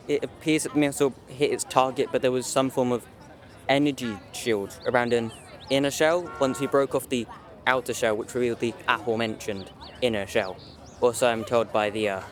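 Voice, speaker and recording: A man's voice: medium pitch, lightly acted. A young man playing a wordy, formal military commander being interviewed in a drama. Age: young adult. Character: measured male military commander, formal and authoritative. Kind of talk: interview acting in youth drama.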